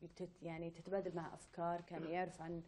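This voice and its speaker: Casting: woman interviewer, warm lowish voice, quick, hesitant phrasing. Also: level tone. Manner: hesitant, restarts questions. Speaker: interviewer, host. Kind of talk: interview questions.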